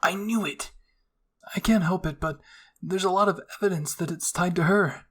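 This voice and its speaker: A man's voice: high-pitched, normal speed, fairly bright tone, pitched above the performer's own voice. A man voicing an earnest young male soldier who explains things reasonably in a fan fiction story.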